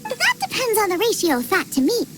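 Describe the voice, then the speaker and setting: Eager young female game chef: tiny high voice, cheerful and confident, music underneath. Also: wildly swooping pitch, a little fast, full character voice. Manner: perky, eager, self-assured. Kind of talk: animated video game voice acting.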